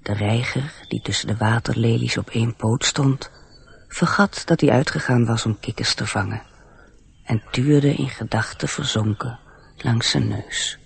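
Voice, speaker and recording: A man's voice: medium pitch, measured pace, creaky. A man playing an earnest storyteller who narrates a radio play, confiding directly in the listener. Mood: hushed, descriptive. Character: intimate, gently earnest male storyteller.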